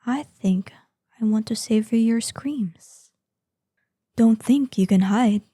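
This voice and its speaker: A woman playing an ancient, proud female dragon in a scripted audio roleplay, imperious in manner, with a breathy voice that lapses into whispers. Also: fairly high pitch, dark tone, no room sound, clean studio sound. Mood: languid threat. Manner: slow, imperious, savoring threats. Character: ancient, menacing, proud female dragon guarding hoard, lonely, excitable.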